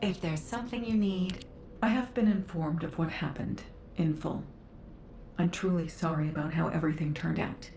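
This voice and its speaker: A woman's voice: steady tone, moderate pace, darkish tone, close to an everyday voice. A woman playing a composed, sincere magister in a video game, speaking formally and politely. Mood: apologetic, formal. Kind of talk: dramatic video game dialogue. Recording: roomy echo, faint rumbling noise.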